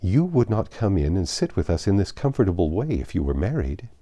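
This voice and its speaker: A playful, opinionated young lady of a classic novel, as a male narrator puts her on. The voice is mellow and swoops with arch emphasis, keeping formal, genteel phrasing. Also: sits low, big pitch swings, fairly quick, dry recording. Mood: protesting.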